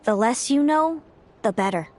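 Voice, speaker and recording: A woman's voice: on the high side, animated, normal speed. Woman playing a cool, secretive female rival. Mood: wistful. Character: mysterious, bitter young female rival.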